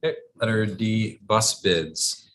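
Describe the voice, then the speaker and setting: A man's voice: fairly low pitch, slow pace, fairly bright tone. A man informally moderating a public board meeting as its chair. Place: indoor school board meeting room.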